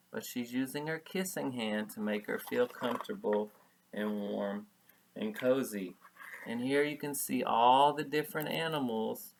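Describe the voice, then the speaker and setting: A man's voice: mid-range voice, fairly bright tone, rough recording. A man reading a picture book aloud as a preschool teacher, explaining things simply and repeating key words for young children.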